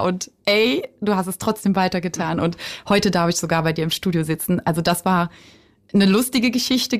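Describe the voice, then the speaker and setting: A woman singer-songwriter chatting as a guest in a radio studio interview, talking freely with many fillers and frequent laughs. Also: lowish voice. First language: German. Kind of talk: casual radio interview chat.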